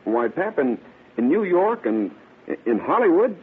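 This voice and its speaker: A man's voice: a little high, sing-song, a little slow, slightly rough, full character voice. An actor playing an earnest, defiant country son in his twenties with folksy speech in a radio drama. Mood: defensive, earnest. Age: 20s. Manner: folksy, plain country talk. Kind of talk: 1930s radio acting. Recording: tinny, narrow-band vintage radio recording.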